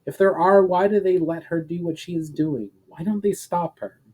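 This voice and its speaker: A man's voice: fairly high pitch, normal speed, a little mellow, husky, pitched above the performer's own voice. Man voicing an indignant elf hero, insistent. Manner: insistent, demanding questioning. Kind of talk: fantasy audiobook dialogue.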